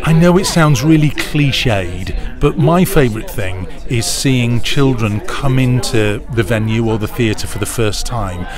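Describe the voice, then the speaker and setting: A man's voice: mid-range voice, unhurried, few pauses. A middle-aged British man giving interview answers in a chatty, enthusiastic storytelling way. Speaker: pantomime producer, interviewee. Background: music clearly audible.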